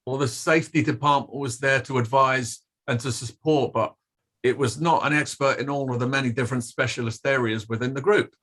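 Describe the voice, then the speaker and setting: An actor playing a defensive, evasive company safety official testifying at an inquiry in a play reading, formal and guarded. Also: male voice, mid-range voice, fairly even intonation, a little fast, fairly bright tone, natural voice. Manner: guarded, formal, clipped denials with hesitant hedging. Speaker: actor in an online table read.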